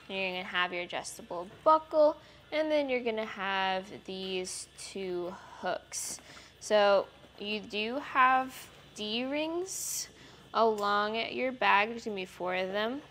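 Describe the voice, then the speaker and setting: A young woman presenting a product walkthrough in an indoor garage studio, explaining features in a clear, friendly way on a lapel mic. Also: mid-range voice, a bit bright, slight background noise. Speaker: product reviewer. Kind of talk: product demonstration.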